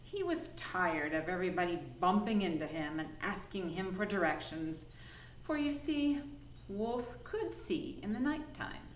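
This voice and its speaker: Slow, animated woman reading a children's story. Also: fairly low pitch, echoey room, distant mic, a little hiss or hum, poor audio. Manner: dramatic, deliberate pauses and emphasis. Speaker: storyteller from a science museum.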